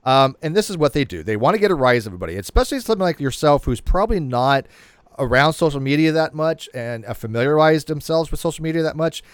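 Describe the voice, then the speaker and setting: Man, late forties, livestream host, rambling, lots of restarts, lively up-and-down pitch. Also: quick pace, clean tone, no echo. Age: 40s. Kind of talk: casual livestream chat.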